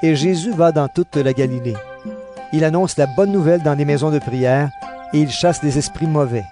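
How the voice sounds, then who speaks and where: A male narrator reads a scripted audio Bible drama. His voice sits a little high for a man, and music plays clearly behind the narration. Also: moderate pace, mellow.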